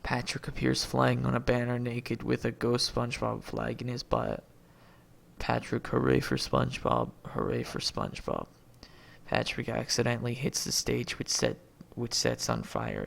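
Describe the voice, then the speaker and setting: A man with a heavily creaky, gravelly voice reads a screenplay aloud. His pitch moves around in a lively way as he announces each character name and stage direction, and he stumbles over words like an amateur reader. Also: moderate pace, a little hiss or hum. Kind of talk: reading a movie script aloud, stage directions and all lines.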